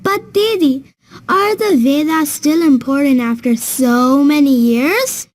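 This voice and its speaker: Woman voicing a high-pitched, curious young child. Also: takes it slowly. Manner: eager questioning, wide-eyed. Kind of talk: children's educational cartoon dialogue.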